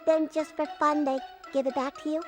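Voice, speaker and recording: A woman's voice: sits high, level tone, a little fast, loud music behind the voice, close to an everyday voice. An actress voicing a sassy, cheeky cartoon girl.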